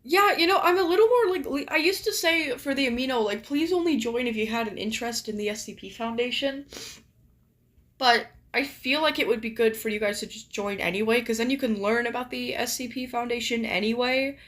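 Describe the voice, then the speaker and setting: Young woman, live-stream chat, a little raspy morning voice, rambling, fillers, fairly high pitch. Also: a little fast, a bit bright. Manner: chatty, filler-heavy, many "like"s.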